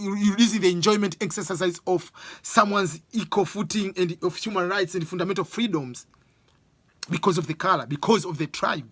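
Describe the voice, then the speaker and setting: Husky East African man giving opinions. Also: fairly high pitch, bright, forceful tone, no room sound. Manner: emphatic, repeats phrases for stress. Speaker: speaker giving his opinion. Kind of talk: informal opinion monologue.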